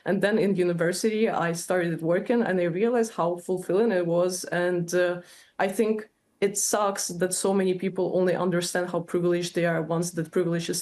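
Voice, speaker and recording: Young woman, lowish voice, explaining things earnestly in an interview. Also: darkish tone. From Ukraine. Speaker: interview guest.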